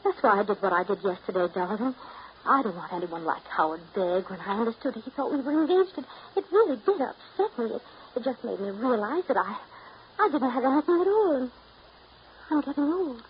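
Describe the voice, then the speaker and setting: A woman's voice: on the high side, animated, natural voice. Needy, restless actress as a woman, muffled old radio. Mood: frantic self-pity. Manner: pleading, emotional, halting and dramatic. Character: restless, needy, self-pitying woman afraid of getting old. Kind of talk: 1930s American radio drama acting. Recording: muffled, noisy 1930s radio broadcast recording.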